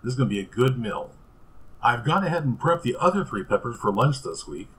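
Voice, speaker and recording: Male home-cooking host, middle pitch, easygoing and chatty, small kitchen. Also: brisk, low-quality recording. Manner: relaxed, chatty, with fillers and restarts. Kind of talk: casual cooking demonstration.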